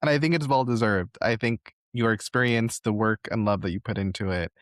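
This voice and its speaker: A man's voice: on the low side, brisk, no room sound, clean studio sound. A man hosting a conversational interview, speaking in an encouraging way and offering generous praise to his guest. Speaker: podcast host interviewing a guest.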